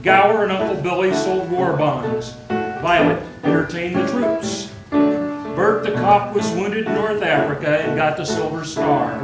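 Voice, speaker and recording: A man's voice: sits high, unhurried. A man acting a solemn heavenly angel who narrates a radio play as scripted storytelling. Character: solemn heavenly senior angel recounting a life. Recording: roomy stage, prominent music behind. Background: loud plucked-string instrumental music.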